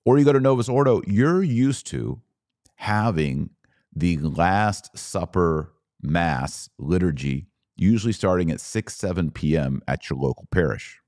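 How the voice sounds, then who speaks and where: A man's voice: low-pitched, animated, measured pace, a little mellow, no echo, clean studio sound. A man hosting a podcast, explaining things in an informal, conversational and emphatic way, repeating key phrases. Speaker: podcast host. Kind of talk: informal explanatory talk on church liturgy.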